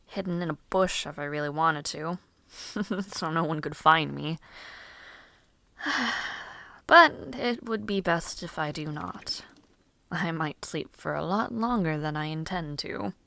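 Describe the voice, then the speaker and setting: A woman's voice: fairly low pitch, animated, a little slow, creaky, dry recording, heavily acted. A woman playing a cheerful, headstrong young noble lady mage in an audio roleplay drama, with genteel, old-fashioned phrasing. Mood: weary, musing. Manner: genteel, old-fashioned, chatty phrasing with soft laughs and sighs.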